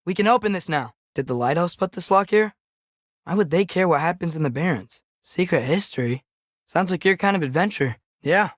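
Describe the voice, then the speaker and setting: A man's voice: high voice, expressive, fairly quick, no echo, clean studio sound. A young male actor voicing an earnest, compassionate teenage boy adventurer in a video game, with an American accent and a questioning, casual way of talking. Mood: sincere, questioning. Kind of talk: naturalistic video game character acting.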